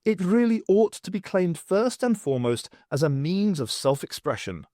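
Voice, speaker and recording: A man reading a science fiction novel aloud as scripted, expressive audiobook narration. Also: high voice, normal speed, no echo, clean studio sound. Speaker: audiobook reader.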